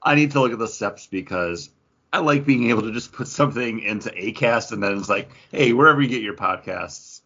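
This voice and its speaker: A man's voice: lowish voice, fairly quick, pushed and bright, dull audio. A man chatting casually and jokingly as a podcast host. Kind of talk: casual banter on a comics podcast.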